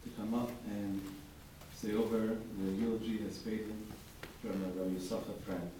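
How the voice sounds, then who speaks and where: A man introduces a speaker in a plain, fairly low voice. The recording is heavily echoey and distant, with clear noise behind him. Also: little pitch movement, moderate pace. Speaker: master of ceremonies introducing a speaker. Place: large reverberant room. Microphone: distant microphone.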